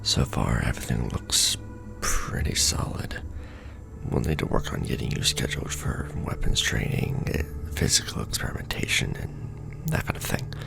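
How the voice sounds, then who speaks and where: A man playing a casually menacing secret-society recruiter in an ASMR roleplay, with a breathy, mostly whispered, gravelly voice and sardonic, conspiratorial patter, close on the microphone. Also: extremely low pitch, animated, moderate pace, over music, full character voice. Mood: businesslike, hushed. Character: casually menacing Illuminati recruiter onboarding a new initiate.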